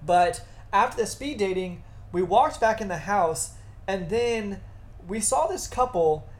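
A young woman co-hosting a podcast, recounting a night out in a relaxed, easygoing way. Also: mid-range voice, unhurried. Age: young adult. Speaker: podcast co-host. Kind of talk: casual storytelling chat.

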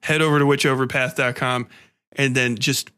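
A man hosting a casual interview, animated and self-deprecating, with plenty of swearing. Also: medium pitch, moderate pace, smooth. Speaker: podcast host and author.

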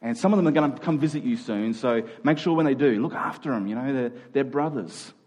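Man, pastor, medium pitch, sermon delivery, earnest, conversational, informal asides to listeners. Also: fairly quick, darkish tone. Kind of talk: sermon to a congregation, with prayer.

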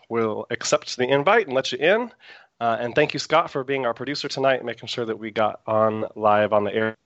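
A man's voice: mid-range voice, fairly bright tone, dry recording, lo-fi sound. A man hosting a live internet radio talk show, chatty and meandering with frequent fillers, speaking through a sore throat.

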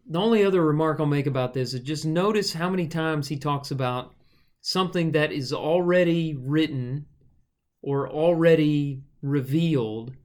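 A man teaching the Bible in a conversational, measured way, moving between explanation and reading verses aloud. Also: a little high. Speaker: Bible study podcast host and teacher.

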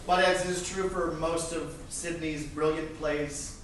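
A man's voice: a little high, fairly even intonation, a little slow, recorded from a distance. A man giving an informal introduction as a presenter at a live play reading. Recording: roomy live recording, clear background noise.

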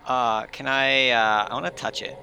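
A man's voice: medium pitch, fairly even intonation, normal speed, sharp tone, slight background noise. A man playing a tabletop game, improvising in character in a flustered, stammering way.

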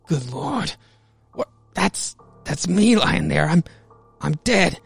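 A panicked man acted out by a male reader in a horror radio play. He is stunned and pleading, with a halting dramatic delivery and a raised pitch, recorded dry. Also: big pitch swings, gravelly, slightly rough, dry recording, faint music behind, heavily acted.

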